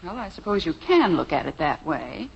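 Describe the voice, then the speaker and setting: A gently reproachful American sitcom mother from 1950s radio. She speaks with lively, sighing inflection. The old recording sounds dull and faintly noisy. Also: lowish voice, moderate pace, creaky. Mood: mild reproach. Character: long-suffering, gently reproachful wife and mother.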